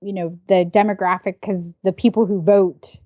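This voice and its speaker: A woman in her forties co-hosting a casual podcast, explaining things in a measured, reasoning way. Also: medium pitch, little pitch movement, mellow, no echo, clean studio sound. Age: 40s. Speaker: podcast co-host. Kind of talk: casual pop-culture podcast chat.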